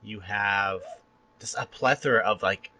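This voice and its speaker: Male podcast host, forward bright tone with a husky edge; excitable, rambling casual fan talk. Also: lowish voice, rough recording. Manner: enthusiastic, rambling, quick to laugh. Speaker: podcast host interviewing a guest. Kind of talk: casual fan chat interview.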